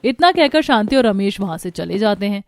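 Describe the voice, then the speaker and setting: A woman's voice: mid-range voice, animated, fairly quick, a little mellow. A woman reading scripted narration for a family cartoon story.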